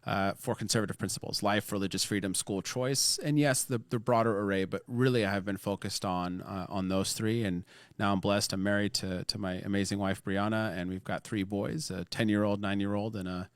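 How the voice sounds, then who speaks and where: A man in his thirties, born in Lebanon, a political strategist and pollster answering interview questions in an earnest, steady way. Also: on the low side, a little fast, smooth, dry recording. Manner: earnest, steady storytelling with fillers.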